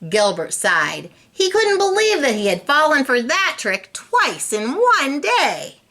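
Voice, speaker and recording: A woman reading a storybook aloud for children in an expressive, teacherly way. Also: high-pitched, slow. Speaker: storybook reader. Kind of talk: animated read-aloud for children.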